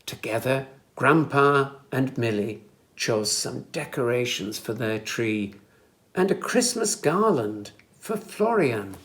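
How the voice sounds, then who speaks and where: Storyteller for young children: unhurried, very animated read-aloud, careful expressive phrasing, a touch of gravel. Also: medium pitch.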